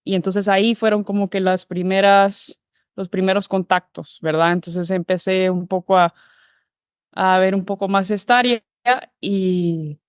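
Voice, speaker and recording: A woman's voice: medium pitch, fairly even intonation, unhurried, smooth, no room sound, clean studio sound. A woman from Guatemala, a behavior analyst, telling her career path in an interview, thoughtful and full of restarts. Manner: thoughtful, many fillers and restarts. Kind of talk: relaxed interview conversation.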